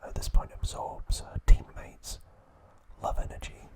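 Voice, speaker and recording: A man hosting an ASMR video, narrating trivia in a soft, deliberate, unhurried whisper. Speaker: ASMR host.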